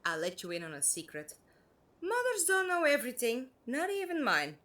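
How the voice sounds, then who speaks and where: A woman's voice: sits high, wildly swooping pitch, moderate pace, a bit bright, full character voice. A woman playing a friendly, curious companion to a time traveller in an audio drama, inquisitive and direct. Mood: kind, playful.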